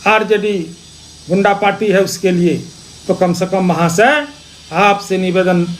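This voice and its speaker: High-pitched man giving political speech. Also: a little hiss or hum. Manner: repeats phrases for emphasis; accusatory, rhetorical questioning. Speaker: opposition party politician.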